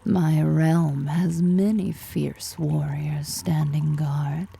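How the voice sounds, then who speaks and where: A woman's voice: steady tone, very slow, dark tone, heavily acted. A woman playing a powerful, predatory drider ruler in an ASMR roleplay, half-whispering with teasing, flirtatious menace. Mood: sly, imperious. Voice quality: breathy, half-whispered, creaky low voice.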